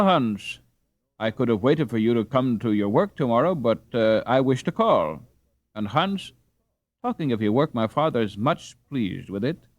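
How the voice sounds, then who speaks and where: A man voicing a courteous, confident, well-bred young Dutch gentleman in a storybook, polite and formal. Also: mid-range voice, no room sound, muffled recording.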